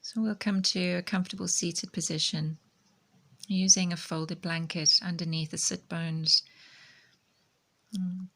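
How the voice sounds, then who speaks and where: A woman teaching meditation in a gentle, calming, soft-spoken way, her phrases often trailing into breathy near-whispers. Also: on the low side, measured pace.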